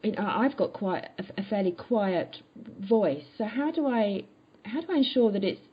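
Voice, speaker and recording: A woman's voice: mid-range voice, normal speed, breathy edge, highs cut off. A woman hosting a conversational interview, reflective and affirming, with tentative phrasing. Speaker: podcast host.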